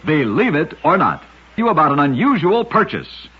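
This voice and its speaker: A man narrates in the style of 1940s radio, his voice very husky and fairly high. His delivery is measured and dramatic, with lively rises and falls, on a dull old radio recording. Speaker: radio narrator of strange true stories.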